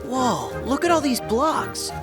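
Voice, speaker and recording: A spirited teenage boy hero speaks in a youthful, lively American voice. He sounds earnest and casual, ready for adventure in a video game, with music clearly behind. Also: very high-pitched, takes it slowly, full character voice. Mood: surprised. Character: Sora, upbeat, eager, brave teenage boy hero. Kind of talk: animated video game voice acting.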